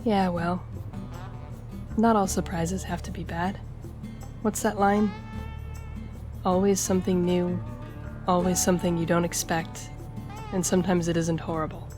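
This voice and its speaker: Woman playing a low-voiced, flirtatious roguish gambler, music behind. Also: flat delivery, a little slow, pauses often, lightly acted. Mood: warm, flirtatious. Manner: teasing, charming, conversational. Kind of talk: intimate audio roleplay addressed to listener.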